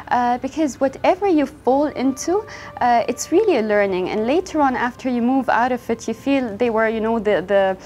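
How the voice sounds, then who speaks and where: A woman's voice: on the high side, a little mellow. Earnest young woman, faint music behind. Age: young adult. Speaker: fashion brand founder. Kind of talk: interview monologue.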